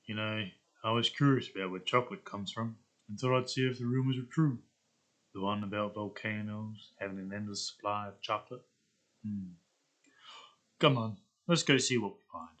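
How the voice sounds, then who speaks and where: A man voicing a dim, sheltered jock who is naive and curious, with a halting, dopey manner. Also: fairly low pitch, fairly bright tone, natural voice. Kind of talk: animated character script reading.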